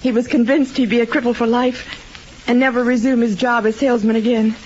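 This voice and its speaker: A woman's voice: a little high, little pitch movement, brisk, a little mellow, natural voice. A woman playing an anxious, devoted wife in melodramatic old-time radio drama style. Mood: distraught. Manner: earnest, melodramatic. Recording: dull, noisy old radio recording.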